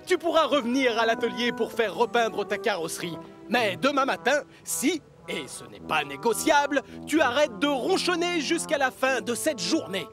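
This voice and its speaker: High-voiced, bossy railway controller. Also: male voice, big pitch swings, moderate pace, exaggerated voice. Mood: firm. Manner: authoritative, admonishing. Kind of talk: children's cartoon dub acting. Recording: music behind the voice.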